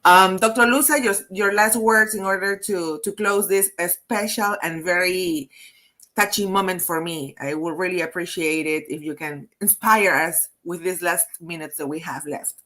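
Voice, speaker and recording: A woman with a Peruvian Spanish accent, an HR consultant hosting a live webinar over a video call, speaking in halting phrases with many restarts. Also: lowish voice, steady tone, pushed and bright. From Peru. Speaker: host, HR consultant.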